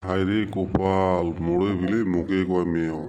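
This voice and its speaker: A man's voice: low-pitched, level tone, normal speed, noisy background, natural voice. Man acting a sarcastic, grumbling village husband. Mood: sarcastic teasing. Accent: rural northern Bengali dialect of the Assam-Bengal border. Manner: sarcastic, muttering complaints. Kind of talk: comedy cartoon dialogue.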